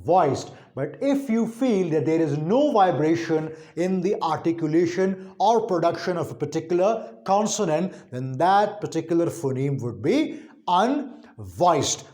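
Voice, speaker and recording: A man with a high-pitched voice delivers an instructional linguistics lesson. His pace is slow and his intonation swings widely. He explains deliberately and emphatically, restating key points for his students. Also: dark tone. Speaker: linguistics lecturer.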